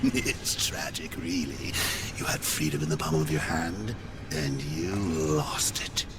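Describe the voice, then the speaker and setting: An actor playing a crude, mocking demonic jailer in a video game, taunting and theatrical. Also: male voice, a bass voice, fairly even intonation, slow pace, heavy background noise. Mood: mocking menace. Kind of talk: video game cutscene acting.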